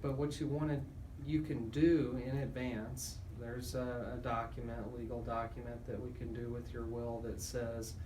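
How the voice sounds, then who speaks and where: A man's voice: mid-range voice, level tone, normal speed, hardly any pauses, darkish tone. Echoey, distant man explaining legal matters. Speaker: lawyer and radio show co-host. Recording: echoey room, distant mic, noticeable noise.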